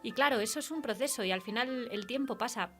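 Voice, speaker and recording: A woman's voice: fairly high pitch, quick pace, fairly bright tone, faint music behind. A woman with a Spain accent interviewing casually, thinking aloud and checking in with tag questions. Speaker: radio interviewer. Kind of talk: casual literary interview chat.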